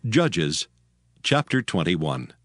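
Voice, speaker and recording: A man's voice: sits low, sing-song, slow pace, no echo. A man narrating scripture in a solemn, scripted style for a dramatized audio Bible. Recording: music bed behind the voice. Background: background music.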